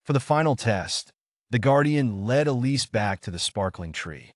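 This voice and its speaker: A man narrating a scripted bedtime story as a storyteller, in an expressive, theatrical manner. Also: medium pitch, moderate pace, dry recording, studio quality.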